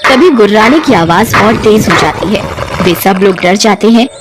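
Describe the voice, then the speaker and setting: A woman's voice: mid-range voice, lively intonation, moderate pace, over music. A woman narrating a scripted cartoon ghost story in a dramatic storytelling style.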